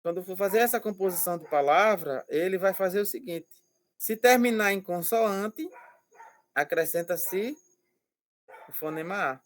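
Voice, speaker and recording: A male language teacher explaining slowly and patiently over a video call, repeating words and checking that students follow. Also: high voice. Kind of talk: online class explanation.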